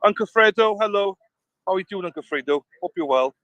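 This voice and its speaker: A man's voice: high voice, lively intonation, fast, a bit bright, no room sound. A man holding down a livestream, upbeat and greeting viewers by name in casual chat. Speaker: stand-in livestream co-host. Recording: dull lo-fi stream audio.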